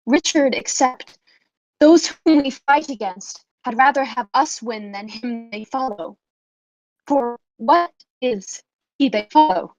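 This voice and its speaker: A young woman playing a noble, devout war leader in a Shakespearean radio play, speaking in a measured, oratorical way. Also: high-pitched, a little mellow, dry recording. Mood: resolute, noble. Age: young adult. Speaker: acting student. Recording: dull, lo-fi sound.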